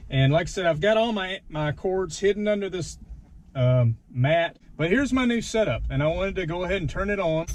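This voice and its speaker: A man's voice: on the high side, darkish tone. A man giving a casual, informal, self-deprecating hands-on video walkthrough from inside a truck. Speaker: vlogger demonstrating his gear. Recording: clear background noise in a vehicle.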